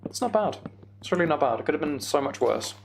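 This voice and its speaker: Casual male game streamer with creaky voice. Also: fairly high pitch, big pitch swings, fast talker. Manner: thinking aloud, rambling.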